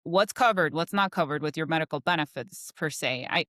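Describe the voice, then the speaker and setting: A woman guest chatting casually in an interview, talking freely and filling pauses with "you know". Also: low-pitched, fairly bright tone, dry recording, studio quality. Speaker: guest, insurance professional.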